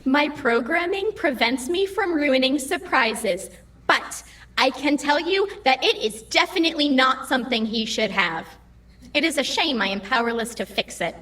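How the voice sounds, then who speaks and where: A woman's voice: high voice, expressive, moderate pace, fairly bright tone. A woman playing a cheeky, literal-minded computer AI in an audio drama, with formal, precise phrasing. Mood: wry.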